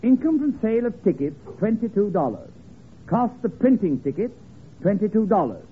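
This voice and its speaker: High-voiced, incredulous comic sidekick. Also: male voice, very animated, normal speed. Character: skeptical tavern regular checking the books, amused. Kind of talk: 1940s radio comedy acting. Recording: tinny, hissy old radio recording.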